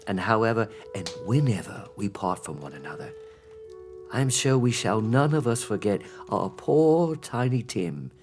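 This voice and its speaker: An actor playing a loving, grieving father who keeps a brave face, speaking tenderly and earnestly in a radio play. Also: lowish voice. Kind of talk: theatrical radio-play acting.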